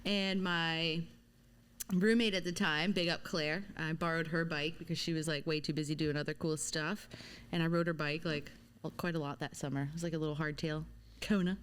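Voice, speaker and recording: A woman's voice: low-pitched, steady tone, moderate pace, clean tone. A woman from Louisiana with a mild Southern accent, chatting casually as a podcast guest and laughing often. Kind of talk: casual chat.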